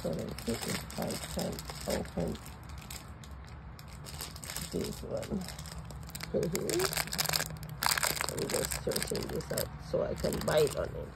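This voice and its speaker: Raspy woman gushing over toys. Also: sits low, huge pitch swings, slow, pauses often, heavy background noise. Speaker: vlogger. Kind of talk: casual unboxing chat. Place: home, at a table.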